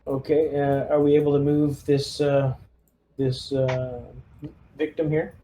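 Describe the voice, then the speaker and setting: A man with a soft-edged, mellow tone talks casually as a player in a tabletop game. His pitch is average, and there is slight background noise. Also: completely flat delivery, a little slow.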